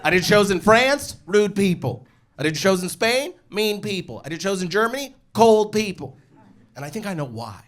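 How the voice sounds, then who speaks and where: A man's voice: high voice, sing-song, normal speed, fairly bright tone. A man with a Californian American accent doing stand-up comedy, telling stories in a casual, profane, slangy way. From United States, California. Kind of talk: stand-up comedy storytelling to a live audience. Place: live comedy show with audience.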